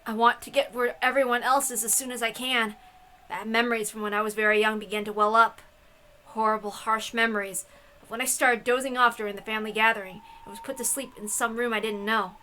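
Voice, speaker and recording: Bright, expressive woman reading a story aloud. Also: on the high side, fairly quick, slight background noise. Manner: expressive, theatrical. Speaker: reader of a visual novel. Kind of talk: dramatic narrated reading.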